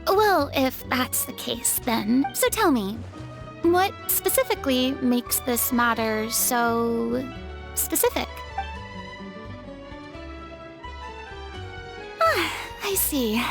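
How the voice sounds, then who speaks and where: A woman's voice: sits high, big pitch swings, takes it slowly, over music, heavily acted. A woman voicing a proud but weary young former stage star in a game, theatrical and grand one moment and downcast the next. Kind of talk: game voice acting.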